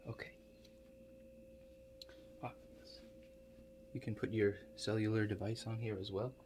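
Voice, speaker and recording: A man doing an ASMR roleplay, talking to the listener in a halting, musing, politely apologetic way. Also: lowish voice. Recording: loud steady hum behind the voice.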